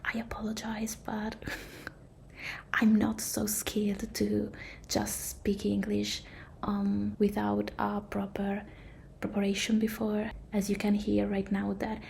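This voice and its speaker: A woman's voice: mid-range voice, monotone, slow pace, vocal fry, a little mellow, a little hiss or hum. A woman with an Italian accent, whispering a hesitant, apologetic aside while editing her video. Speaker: video creator.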